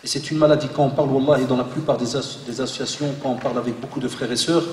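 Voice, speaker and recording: A man in his forties, born in Belgium, giving a lively religious talk to an audience and joking with the crowd. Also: medium pitch, racing. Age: 40s. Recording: echoey hall, voice from far off.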